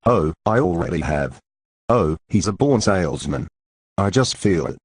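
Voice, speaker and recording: Man acting a deep, husky store manager. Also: very animated, a little slow, no echo, studio quality, heavily acted. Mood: excited. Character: fussy, eager department store manager, a man. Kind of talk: old-time radio drama acting.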